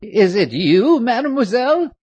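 A narrator voicing a fussy, fiercely loyal old woman who once nursed the family, high-pitched and chattering in exclamations. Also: sing-song, moderate pace, a little mellow, husky, no room sound, lo-fi sound. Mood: surprised. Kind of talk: audiobook character reading.

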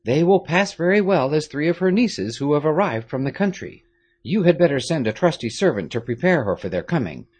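Stern old-world nobleman dad giving orders, read by a guy, husky, pitch swinging all over. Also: big pitch swings, fairly quick, a little mellow. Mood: commanding. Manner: firm, authoritative. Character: stern aristocratic French father, commanding. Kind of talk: audiobook character reading.

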